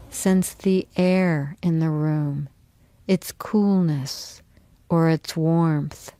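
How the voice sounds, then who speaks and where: A woman teacher leading a guided yoga relaxation in a soothing, unhurried, instructional manner. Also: deep voice, dark tone, dry recording.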